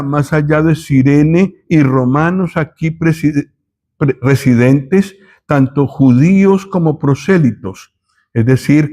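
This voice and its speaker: A man from Colombia, giving a thoughtful Bible teaching with pauses and self-corrections. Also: a little high, darkish tone.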